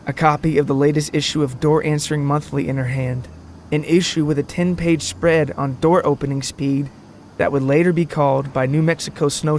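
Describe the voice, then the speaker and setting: A man narrating a scripted comic story in a wordy, deadpan manner. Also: a little high, slight background noise.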